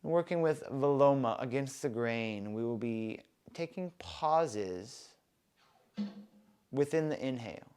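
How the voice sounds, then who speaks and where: A man softly leading a guided breathing meditation, with calm, soothing instructions. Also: mid-range voice, clear, resonant tone, faint music behind. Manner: slow, soft, calm and soothing guidance.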